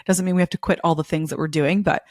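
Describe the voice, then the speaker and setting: A young woman hosting a spiritual podcast, a little hoarse from losing her voice, talking in an effusive, repetitive way. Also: lowish voice, steady tone, quick pace, darkish tone, no room sound, studio quality. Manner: effusive, repeats words for emphasis. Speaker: podcast host. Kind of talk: casual spiritual podcast monologue.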